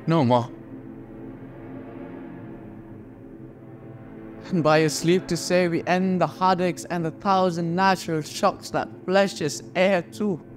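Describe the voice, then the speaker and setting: An actor playing a melancholy, brooding prince in a theatrical Shakespearean monologue, speaking deliberately with dramatic pauses. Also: male voice, on the high side.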